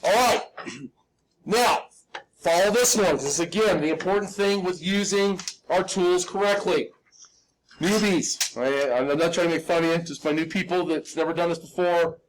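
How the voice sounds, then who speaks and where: A man with a high, lightly raspy voice walks students through a drawing task step by step. He is informal and self-deprecating, talking like a hands-on teacher and often pausing to check in. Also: a little hiss or hum, low-quality recording. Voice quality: slightly raspy. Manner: instructional, checks in often with "okay?". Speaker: drafting teacher. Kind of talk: live step-by-step hand drawing demonstration.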